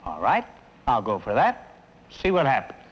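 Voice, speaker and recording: A man's voice: medium pitch, huge pitch swings, moderate pace, slightly rough, rough recording. An actor playing an impatient, skeptical male customer in a vintage training film. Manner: skeptical, grudging. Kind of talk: 1950s training film acting.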